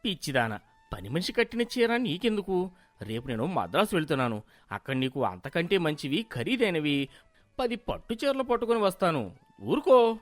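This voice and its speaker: A woman's voice: lowish voice, very animated, normal speed, no room sound, pitched below the performer's own voice. A woman voicing a weary, placating village husband who coaxes with mild exasperation in a storybook tale. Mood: exasperated, soothing. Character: weary, placating rich husband, middle-class village master.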